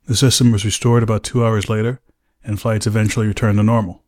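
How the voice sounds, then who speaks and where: A man with an American accent and a fairly low-pitched voice. He speaks as an expert analyst, laying out points in a measured, careful way. His delivery is explanatory throughout. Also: little pitch movement, darkish tone. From United States. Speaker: aviation safety analyst and podcast host. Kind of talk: measured explanatory interview talk.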